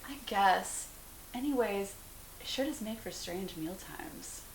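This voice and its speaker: A woman's voice: mid-range voice, expressive, slow pace. A woman acting a candid, wry teenage girl in an amateur dramatic dialogue reading. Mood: wry, animated. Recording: roomy echo, hiss in background.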